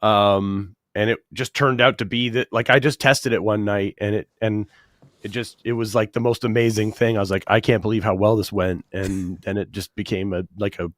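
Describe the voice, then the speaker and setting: Deadpan man, low voice, slightly worn out. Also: normal speed, no echo. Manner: dry, deadpan joking. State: losing his voice after bronchitis. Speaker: comedian guest. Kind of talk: casual interview chat.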